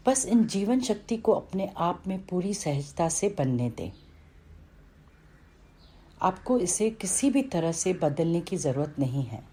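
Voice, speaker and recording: A woman reading a scripted guided meditation in a calm, instructional way, with pauses between phrases. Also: on the low side, slightly rough.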